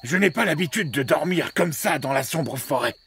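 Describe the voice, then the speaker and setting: A man playing a genial old donkey in a children's cartoon dub, with a hearty, drawn-out, sing-song delivery. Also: on the high side, animated, gravelly, fairly bright tone, a little hiss or hum. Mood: leading, excited. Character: genial old donkey, proud singer leading his friends.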